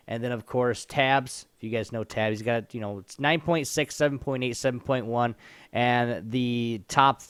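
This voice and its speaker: A man co-hosts a gaming stream in a mid-pitched voice with a dry sound free of room echo. He talks casually about esports, swearing and often repeating himself. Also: clean tone.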